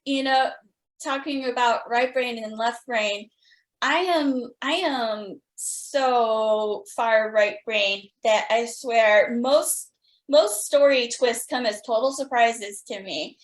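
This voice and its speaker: Woman podcast host chatting, slow n drawn out, echoey room. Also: on the high side. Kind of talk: casual chat. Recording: roomy, echoey sound.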